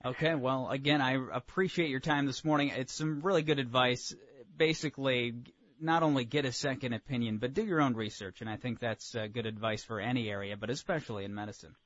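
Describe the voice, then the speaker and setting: A man's voice: medium pitch, fairly even intonation, brisk, fairly bright tone, no room sound. A man with an American accent hosting a conversational radio interview, agreeable and a little hesitant in his phrasing. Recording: dull, dry radio audio.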